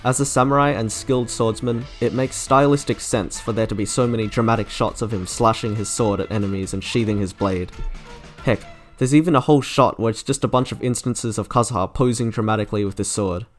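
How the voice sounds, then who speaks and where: A man's voice: fairly low pitch, a little fast. A man delivering scripted commentary as the host of a video reviewing game character trailers. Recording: music under the voice. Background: background music.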